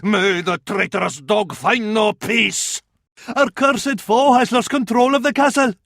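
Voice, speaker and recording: An actor with an Arabic accent playing a fervent, scornful medieval advisor to a sultan in a video game, in a florid, declamatory style. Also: male voice, sits high, unhurried, creaky, a bit bright, dry recording, clean studio sound, full character voice. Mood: triumphant, devout. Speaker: battle advisor in a strategy game. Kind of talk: theatrical video game voice acting.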